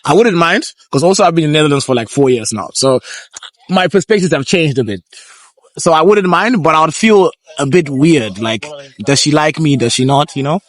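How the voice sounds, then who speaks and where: A man hosting a casual street interview, chatty and quick to laugh. His voice sits a little high. The sound is dry and close. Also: expressive, no room sound. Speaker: host. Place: outdoors on city streets.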